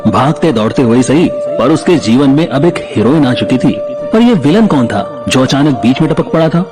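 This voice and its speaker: A man narrates a story in a dramatic, scripted style. His medium-pitched voice moves up and down in a lively way, with music clearly behind it. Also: moderate pace.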